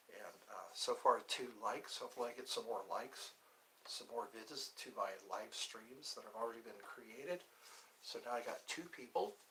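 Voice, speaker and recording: A man's voice: mid-range voice, slight background noise, rough recording. A middle-aged man keeping his voice down as he chats to viewers on a phone livestream from an indoor room, meandering through stories with lots of fillers. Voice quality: hushed. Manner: talking quietly, keeping voice down. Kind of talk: rambling casual livestream chat. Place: indoor room with thin walls.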